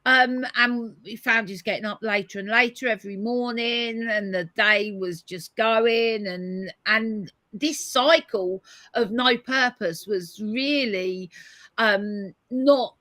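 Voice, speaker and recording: A woman hosting a live-stream conversation over a video call, hoarse today, thoughtful as she reads out viewer comments. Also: fairly high pitch, slow, bright, forceful tone, no room sound. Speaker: host.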